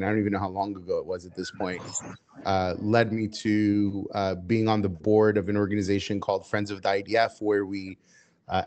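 A man who grew up in the United States speaking Hebrew first, answering interview questions at length in a measured, steady way with frequent "uh" fillers. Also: fairly low pitch. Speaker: interview guest.

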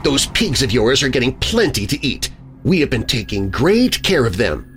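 A man's voice: medium pitch, sing-song, normal speed, a bit bright. A man voicing a coldly deranged wife who soothes and sneers with hissing menace in a horror story. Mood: calm menace.

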